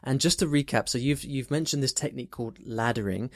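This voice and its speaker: A man's voice: mid-range voice, fast, dry recording, clean studio sound. A man hosting a podcast interview, recapping a listener question and asking friendly follow-ups. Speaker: podcast host.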